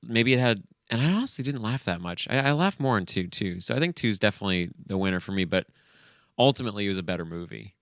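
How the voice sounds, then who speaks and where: A man's voice: fairly low pitch, expressive, fast, fairly bright tone, no room sound, studio quality. A man co-hosting a podcast, chatting casually about films in a rambling, sometimes profane way. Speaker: podcast co-host. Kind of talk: casual film review chat.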